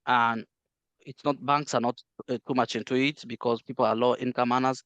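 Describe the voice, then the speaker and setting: A middle-aged man from the Congo, Swahili his first language, talks through an interview in a measured way with lots of "uh" and restarts. His pitch sits in the middle of a man's range. The sound is dry and close, with no room echo. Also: fairly even intonation, a bit bright, clean tone, muffled recording. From Democratic Republic of the Congo. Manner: measured, many "uh" fillers and restarts. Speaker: interview guest, healthcare interpreter. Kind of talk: casual interview, recounting his life.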